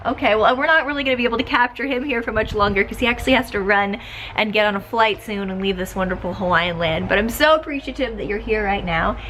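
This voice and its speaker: A woman's voice: mid-range voice, fast talker, a bit bright, a little hiss or hum. A young woman vlog host, upbeat and giggly, interviewing a guest outdoors at a festival with a handheld mic. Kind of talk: bubbly casual interview.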